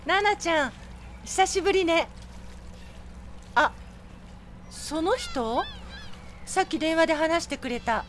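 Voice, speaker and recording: A gentle food-truck vendor in an audio drama. Her voice is high and lively, and she talks slowly, with polite hesitation. Faint ambient noise sits behind her. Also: exaggerated voice. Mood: friendly, hopeful. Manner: soft, polite, tentative. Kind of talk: Japanese audio drama acting.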